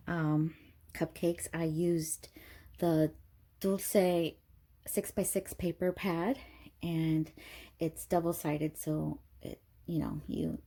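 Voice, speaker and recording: A woman with a stuffy, allergy-clogged voice, chatting casually through a craft tutorial at home, full of ums and little asides. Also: dark tone. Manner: chatty and rambling, many ums, gushes in a high voice over cute things. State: stuffy from allergies, clearing throat. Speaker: craft video host.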